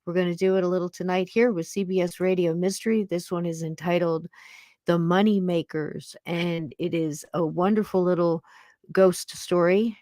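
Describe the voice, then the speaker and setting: A woman in her sixties with a fairly low voice hosts a podcast. She chats in a folksy, affectionate ramble, recorded close and dry. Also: fairly even intonation, normal speed, mellow, no room sound. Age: 60s. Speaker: podcast host. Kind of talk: casual chat to live listeners.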